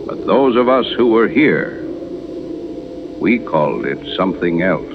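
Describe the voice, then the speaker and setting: An actor playing an ominous old small-town priest, slow and knowing, telling a story in a radio horror drama. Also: male voice, low voice, very animated, heavily acted. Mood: grave ominous. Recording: dull lo-fi radio sound, clear noise.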